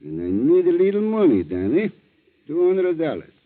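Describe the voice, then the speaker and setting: A man's voice: fairly high pitch, huge pitch swings. Falsely friendly fisherman blackmailer, broken English, swooping intonation, thin phone-like vintage radio sound. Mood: slyly menacing. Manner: broken English, insinuating, drawn-out threats. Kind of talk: 1940s radio acting. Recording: tinny, narrow-band 1940s radio recording.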